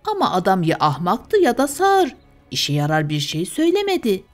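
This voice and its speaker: A woman's voice: mid-range voice, wildly swooping pitch, moderate pace. Woman, bedtime tale narrator, scripted storytelling, music behind. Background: music and fire sounds.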